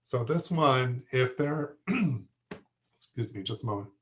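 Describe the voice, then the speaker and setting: A man teaching a plant class who is losing his voice, hoarse and halting, often stopping to clear his throat. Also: medium pitch, lively intonation, a little hiss or hum. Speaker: horticulture instructor. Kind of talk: lecture to a class.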